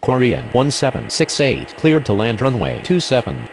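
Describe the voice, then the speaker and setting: Gravelly man acting as a courteous radio pilot. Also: mid-range voice, big pitch swings, normal speed, slight background noise. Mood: courteous, procedural. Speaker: pilot and air traffic controller radio voice. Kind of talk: scripted aviation radio phraseology.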